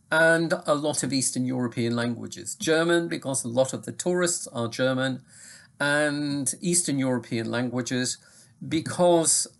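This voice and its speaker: Slow, deliberate English lecturer, faint Manchester. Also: male voice, medium pitch, a bit bright. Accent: faint Mancunian, softened by southern English. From England. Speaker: sustainability lecturer. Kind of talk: recorded video lecture. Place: home study with bookshelves. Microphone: webcam.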